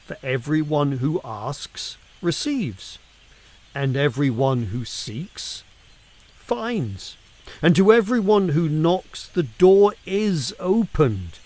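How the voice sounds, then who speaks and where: Slow, deliberate man reading scripture. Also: a little high, big pitch swings, a little mellow. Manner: measured, deliberate, emphatic with pauses. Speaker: reader of scripture.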